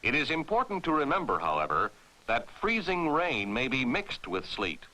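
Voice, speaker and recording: An American man narrating a 1960s military training film in a formal, authoritative, clearly enunciated way, on a vintage film soundtrack. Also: mid-range voice, wildly swooping pitch, moderate pace, pushed and bright, rough recording.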